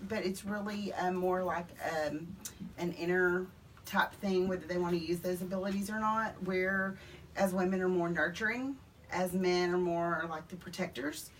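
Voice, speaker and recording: Woman, kinda low voice, lots of ums, mic a bit far. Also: fairly even intonation, moderate pace. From Greenville. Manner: conversational, many um fillers. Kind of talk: interview answers. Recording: distant mic, faint noise.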